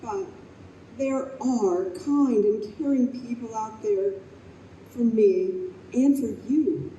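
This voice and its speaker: An actress playing a warm, exasperated mother in her fifties in an old-time radio style stage play. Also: on the high side, slow pace, highs cut off. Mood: tender. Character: warm, exasperated fifty-two-year-old smoker mother and office manager. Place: convention room stage performance. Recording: roomy live hall, faint background noise.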